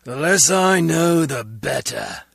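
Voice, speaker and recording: Raspy, cocky henchman. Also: a little high, animated, takes it slowly, fairly bright tone, no echo, clean studio sound, heavily acted. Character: crude, cocky male henchman guard in spy game. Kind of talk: video game dialogue acting.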